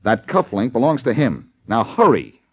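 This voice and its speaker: An American actor playing a cocky, wisecracking amateur detective in 1940s radio style, flippant and quick-witted. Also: male voice, medium pitch, wildly swooping pitch, slightly rough, exaggerated voice. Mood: brisk, teasing. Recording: dull, lo-fi old radio recording.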